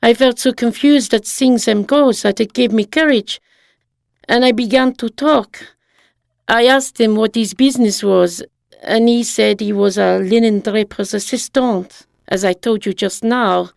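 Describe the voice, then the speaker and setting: A woman's voice: on the high side, unhurried, vocal fry, a little mellow, no room sound, studio quality. A woman playing an elderly, romantic French shopkeeper's wife, confessing earnestly in a radio drama monologue. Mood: earnest, reminiscing.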